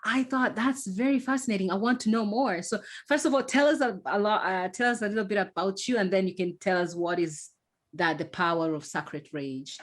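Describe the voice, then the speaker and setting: A woman's voice: mid-range voice, brisk. A woman hosting a podcast, introducing her guest and asking questions with enthusiasm. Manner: enthusiastic, warmly encouraging interviewer. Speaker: podcast host.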